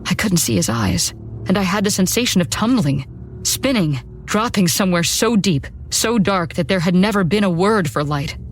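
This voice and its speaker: A woman's voice: fairly low pitch, expressive, normal speed. A woman narrating a first-person horror story in a dramatic storytelling style. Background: background music.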